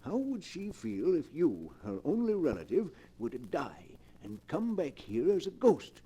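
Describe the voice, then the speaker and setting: An actor playing a fussy, scheming professor of spiritualism, pompous and precise, in 1940s radio drama. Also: male voice, on the high side, huge pitch swings, a little slow, mellow, breathy edge, exaggerated voice.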